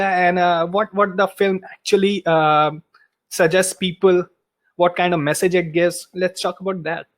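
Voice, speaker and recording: Hesitant male podcast host. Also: high-pitched. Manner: hesitant, many filler "uh"s. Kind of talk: interview hosting.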